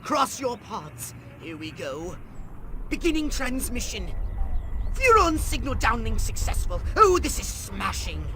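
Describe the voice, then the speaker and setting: A man's voice: very high voice, very animated, measured pace, heavily acted. A man playing a pompous, scheming alien commander in a video game, speaking in a wordy, theatrical way. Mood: sly, lecturing. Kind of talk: video game cutscene acting. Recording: music behind the voice.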